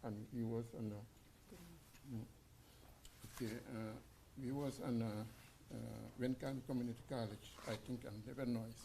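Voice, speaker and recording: A man in his sixties with a Senegalese accent, speaking halting non-native English as he tells an informal anecdote in tribute. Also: mid-range voice, dark tone, a little hiss or hum, poor audio. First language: a language of Senegal. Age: 60s. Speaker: mourner giving a tribute.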